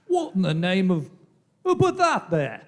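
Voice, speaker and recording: A man playing a bossy, exasperated English police sergeant in a live radio play, exclaiming and giving gruff orders. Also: sits high, huge pitch swings, a little slow, full character voice. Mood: baffled.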